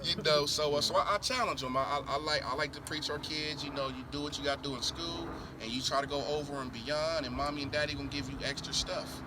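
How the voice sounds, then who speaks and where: A man's voice: fairly high pitch, steady tone, quick pace, hardly any pauses. A middle-aged man from Columbus, Ohio, a school board candidate answering interview questions emphatically, repeating his points and restarting often. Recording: noisy outdoor recording with traffic.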